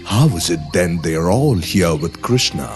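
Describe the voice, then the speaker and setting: A man performs a solemn male deity for a children's mythology cartoon. The voice sits very low and speaks in formal, stately declamation. Music plays clearly underneath. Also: animated, normal speed. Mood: bewildered. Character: Brahma, bewildered then humbled male god.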